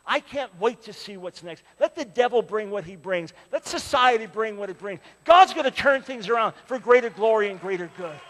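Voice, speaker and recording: A man in his sixties preaching a sermon, swinging from loud appeals to hushed, pleading lines. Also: high-pitched, expressive, normal speed, few pauses, big-room sound. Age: 60s. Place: large church with a balcony.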